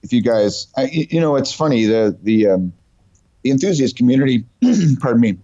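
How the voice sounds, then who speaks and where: A man's voice: medium pitch, animated, normal speed, dark tone, no room sound. A man with a cold, chatting casually and enthusiastically about technology as an invited guest. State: has a cold. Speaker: guest company representative. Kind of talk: casual tech discussion. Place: remote call over the internet. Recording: muffled, dull call audio.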